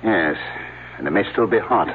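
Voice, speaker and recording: Hesitant professor, lowish lively voice, phone-thin radio sound. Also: male voice, moderate pace, slightly rough. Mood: fussy. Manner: hesitant, full of uh's. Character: fussy hesitant male archaeology professor. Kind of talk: 1970s radio drama acting. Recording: tinny old radio broadcast.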